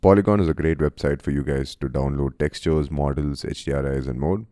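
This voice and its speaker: A man from India with a cold, narrating a friendly software tutorial and calling his viewers guys. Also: rumbling low, fairly quick, dry recording, clean studio sound. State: has a cold, voice deeper than usual. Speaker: software instructor. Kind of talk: screen-recorded tutorial narration.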